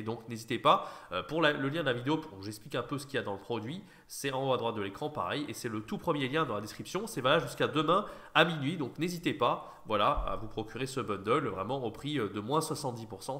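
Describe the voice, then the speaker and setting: Male online host, informal and slightly nasal, rapid casual commentary with a bright, forward tone. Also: medium pitch. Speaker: YouTube host, online French teacher trainer. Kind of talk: casual chat, reaction commentary.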